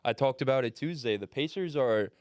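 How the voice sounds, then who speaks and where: A man's voice: mid-range voice, lively intonation, a little fast, clear, resonant tone, dry recording, studio quality. A young man co-hosting a podcast, chatting casually about basketball with swearing and banter. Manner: profane, laughing banter. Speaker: podcast co-host. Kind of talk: casual sports chat.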